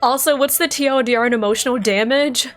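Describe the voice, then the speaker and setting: Excitable young woman streamer. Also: high voice, fast, soft background music. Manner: excitable, thinks aloud, swears and laughs. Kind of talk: casual live game reaction.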